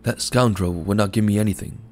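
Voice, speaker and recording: A man's voice: lowish voice, steady tone, brisk, a little mellow, natural voice. A man doing a meek, poor village man. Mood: complaining. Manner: meek, pleading. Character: poor weary village man around fifty.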